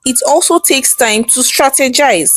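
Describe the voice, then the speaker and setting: A woman storyteller voicing a calm, affectionate husband patiently explaining things in a folktale. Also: sits high, a little slow, soft background music, voice pushed up. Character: calm, easygoing husband, aspiring politician. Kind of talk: storybook character dialogue.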